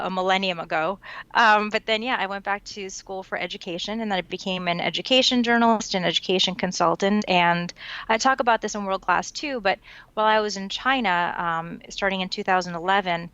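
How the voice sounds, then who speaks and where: A woman's voice: mid-range voice, clean tone, no echo, muffled recording. A woman in her forties from the New York area whose first language is Japanese, telling her story fluently as an interview guest. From United States, New York tri-state area. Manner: fluent, storytelling, relaxed with light laughs. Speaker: guest, education author and consultant. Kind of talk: conversational interview answer.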